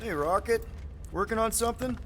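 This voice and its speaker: A man's voice: sits high, a little fast, fairly bright tone, a little hiss or hum. A man playing an easygoing young leader of a space crew in a video game, casual, inquisitive and a little awkward. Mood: casual, probing.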